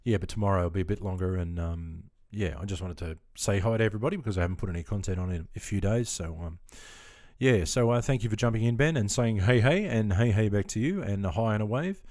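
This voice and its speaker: A man's voice: on the low side, fast, darkish tone, no echo, studio quality. A middle-aged Australian man chatting casually on a live stream, easygoing and full of ums, answering viewers and calling them mate. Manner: easygoing and chatty, filler-heavy, keeps calling people mate.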